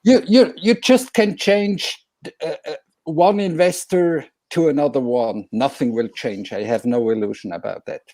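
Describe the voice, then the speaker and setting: Man, from Switzerland, mellow dark voice, emphatic, repetitive phrasing, football talk, dry sound. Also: on the high side, a little slow, no room sound. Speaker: guest, businessman and former football player. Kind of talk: animated opinion talk about football.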